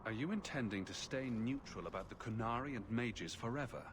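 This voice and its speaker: A man's voice: medium pitch, fairly quick, close to an everyday voice. An actor playing a wry, sarcastic male adventurer hero in a game, with a casual, dry delivery. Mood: serious. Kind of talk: game dialogue. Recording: clearly noisy ambience behind voice.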